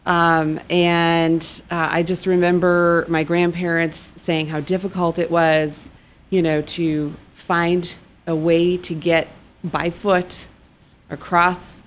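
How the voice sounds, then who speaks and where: Woman guest, low-ish voice, halting and sincere, faint noise behind. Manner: earnest, halting, many fillers. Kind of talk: interview answers.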